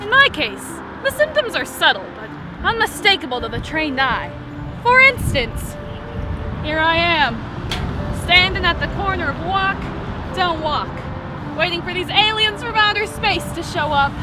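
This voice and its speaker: A woman playing a raspy, high-voiced street eccentric. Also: big pitch swings, measured pace, background noise, heavily acted. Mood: ranting. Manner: rambling, confronts and needles passersby directly. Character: eccentric street woman, defiantly proud of her madness. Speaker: stage actor. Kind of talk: one-woman stage monologue. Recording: distant voice under loud music.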